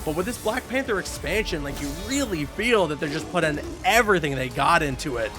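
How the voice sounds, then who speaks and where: A man giving casual, excited reaction commentary as a video host, emphatic and given to repeating himself. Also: on the high side, fairly quick, over music. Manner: enthusiastic, emphatic, repeats phrases. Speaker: YouTube host reacting to a game showcase.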